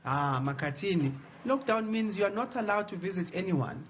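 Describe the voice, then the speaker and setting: A man's voice: high-pitched, expressive, moderate pace, a little hiss or hum. A South African man giving playful scripted narration as a news reporter.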